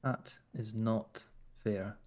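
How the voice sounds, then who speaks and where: A man's voice: fairly low pitch, steady tone, extremely slow, a little mellow. A man with a Scottish accent talking in character during a roleplay, flirtatious and teasing. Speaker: roleplay player.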